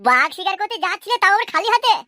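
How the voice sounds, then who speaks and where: A woman's voice: very high voice, animated, rapid-fire, pushed and bright, no echo, studio quality, exaggerated voice. A woman voicing a puzzled, plain-spoken village youngster in a comic cartoon, full of bewildered questions.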